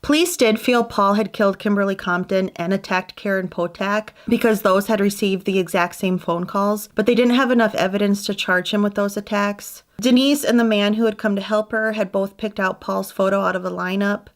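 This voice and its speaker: A woman hosting a true crime channel, narrating a scripted case story in a matter-of-fact way. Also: mid-range voice.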